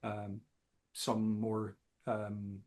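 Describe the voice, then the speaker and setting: A man talking as a guest musician in a casual interview, halting often with fillers. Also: fairly low pitch, completely flat delivery, a little mellow. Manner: slow, halting, many fillers.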